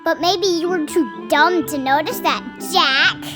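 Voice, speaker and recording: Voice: very high-pitched, unhurried, over loud music. A performer voicing a young boy flying squirrel bully with a taunting manner in a children's audio drama. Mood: mocking.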